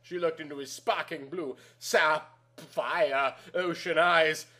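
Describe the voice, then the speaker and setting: A man's voice: on the high side, steady tone, slow, bright, forceful tone. A man reading a story aloud in a theatrical, exaggerated way. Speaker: narrator reading amateur fan fiction aloud.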